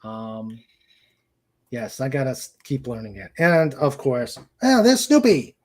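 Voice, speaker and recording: A man hosting a casual livestream, speaking in a halting way as he searches for words. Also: medium pitch, big pitch swings. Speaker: host of a live-streamed show.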